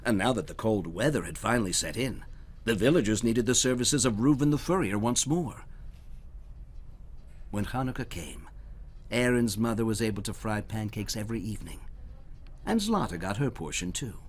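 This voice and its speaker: A man reading storybooks aloud for children in a dramatic, expressive way, at times dropping to a hush. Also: on the low side, normal speed, husky. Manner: dramatic, dropping to hushed near-whispers. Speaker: storybook reader.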